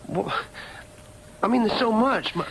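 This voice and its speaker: A man's voice: high voice, expressive, unhurried, vocal fry, fairly bright tone, slight background noise, heavily acted, rough recording. An actor playing an earnest, bewildered young working-class man, hesitant and stammering, in a radio drama. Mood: stunned, bewildered. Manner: hesitant, stammering, plain-spoken.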